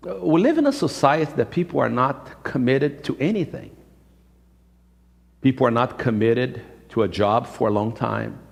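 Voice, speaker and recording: A man's voice: mid-range voice, animated, moderate pace, creaky. A man in his fifties with a Brazilian accent, a native Portuguese speaker, preaching a sermon emphatically and repeating phrases for effect. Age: 50s. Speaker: pastor. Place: church sanctuary. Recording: reverberant hall, live-streamed church service.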